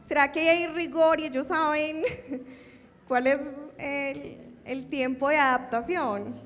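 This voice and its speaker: Woman, high voice, conference lecture, rhetorical questions, long hall reverb. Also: slow pace, slight background noise. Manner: lively, asks audience rhetorical questions. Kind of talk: academic conference talk.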